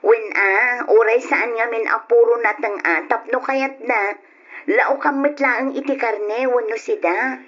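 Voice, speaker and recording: A woman playing a caring, gently insistent young wife and mother in a radio drama. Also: on the high side, a little slow. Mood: affectionate, insistent. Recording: dull lo-fi radio recording.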